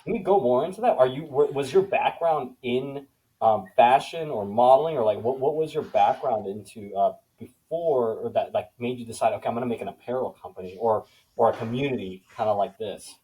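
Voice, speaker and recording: A man's voice: mid-range voice, a little fast, warm tone, low-quality recording. A man who grew up in the Philippines, hosting a podcast, chatting casually and rambling with many restarts. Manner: rambling, repeats words, restarts questions. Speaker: podcast host. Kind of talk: casual interview chat.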